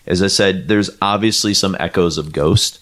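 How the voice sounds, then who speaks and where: A man's voice: low-pitched, normal speed, fairly bright tone. A man co-hosting a podcast, chatting casually about music with lots of fillers and restarts. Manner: rambling, many fillers and restarts; reads quotes aloud. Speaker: podcast co-host.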